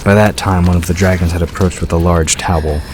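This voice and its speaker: A man's voice: sits low, steady tone, brisk. A man reading scripted fantasy fiction as the narrator of a story. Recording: clear background ambience noise.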